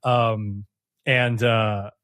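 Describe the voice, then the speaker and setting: A man's voice: fairly low pitch, level tone, drawn-out words, a bit bright, clear, resonant tone, no echo. A man hosting a casual post-game discussion as game master, talking in an enthusiastic, emphatic way.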